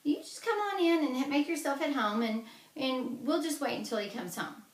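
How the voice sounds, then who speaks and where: Give me a woman playing a clever, brisk storybook wife, pitched high, heard from a distance in a roomy space. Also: animated. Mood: coaxing. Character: wise, brisk Irish wife of a giant.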